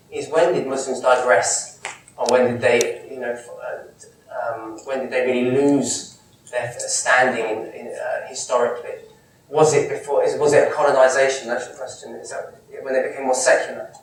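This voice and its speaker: Man with a southern English accent, animated Q&A speaker, echoey hall, distant mic. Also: mid-range voice, moderate pace, slight background noise. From England, Kent. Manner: animated, gestures a lot, restarts phrases. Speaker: Islamic speaker answering questions. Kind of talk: lecture Q&A, conversational. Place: lecture room, indoors. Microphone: far camera mic. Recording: echoey room from far off.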